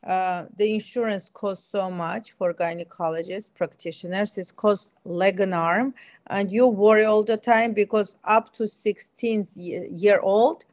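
A woman's voice: medium pitch, fairly even intonation, unhurried, a little mellow, no echo. A middle-aged woman from Armenia with an Armenian accent, answering as an interview guest in an enthusiastic, emphatic way. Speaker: guest, aesthetic medicine physician.

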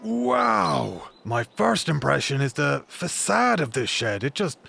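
An actor playing a pompous, awestruck design-show judge in a radio play spoof of a TV property programme. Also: male voice, a little high, big pitch swings, slow pace, creaky, pushed and bright, breathy edge, faint music behind, heavily acted. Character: gushing, pretentious male design-show judge. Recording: noisy location sound.

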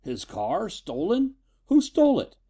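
Need a man playing a furtive older scientist father for pulp audiobook: stern, guilt-stricken, mellow timbre, animated intonation. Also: high voice, measured pace, husky, pitched above the performer's own voice, exaggerated voice. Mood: suspicious, severe. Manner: severe, guilt-stricken, hesitant.

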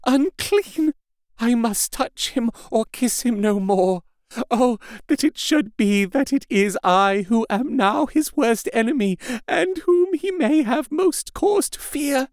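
A man's voice: very high voice, expressive, slow, no echo, studio quality, voice pushed up, full character voice. A man voicing a terrified, anguished young wife in a gothic audiobook, pleading frantically as if near sobbing. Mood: anguished despair. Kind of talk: dramatic gothic audiobook character voice.

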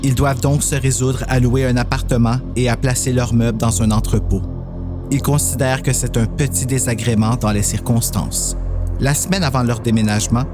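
A man's voice: mid-range voice, steady tone, normal speed, music in the background. A man giving a scripted narration of a horror story.

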